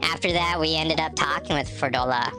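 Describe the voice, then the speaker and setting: Low-voiced, stuffy-nosed woman streamer. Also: brisk, music in the background. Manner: chatty, laughs often, greets viewers by name. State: stuffy nose from allergies. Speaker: game streamer. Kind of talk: casual live-stream chat.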